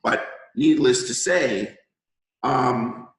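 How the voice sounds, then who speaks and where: Hoarse man lecturing in an echoey room. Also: medium pitch, drawn-out words. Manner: confident, lecturing with analogies. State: hoarse from a cold. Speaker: healer hosting an interview. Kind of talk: conversational interview, explaining. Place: room in Thailand.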